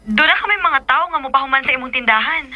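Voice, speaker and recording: A woman's voice: sits high, fast, dry recording, dull audio, faint music behind, close to an everyday voice. A woman acting a hurt, reproachful young girlfriend in a Filipino radio drama, with a Bisaya accent.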